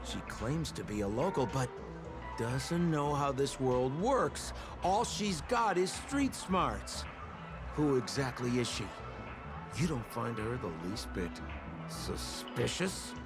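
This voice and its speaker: An actor playing a flamboyant, teasing trickster in a video game, theatrical and mocking, with a smugly cryptic, insinuating manner. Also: male voice, mid-range voice, expressive, slow. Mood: sly goading. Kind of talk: video game character dialogue. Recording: background music under the voice.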